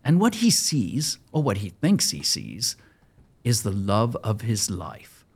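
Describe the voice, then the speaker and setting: A man narrating a scripted audio drama with suspenseful, deliberate emphasis. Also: lowish voice, wildly swooping pitch, slightly rough.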